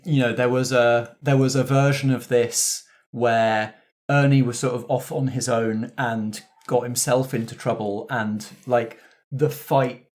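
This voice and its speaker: A man's voice: mid-range voice, steady tone, unhurried. A man speaking as game master and host of a role-playing show. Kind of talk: relaxed post-game discussion and scripted credits.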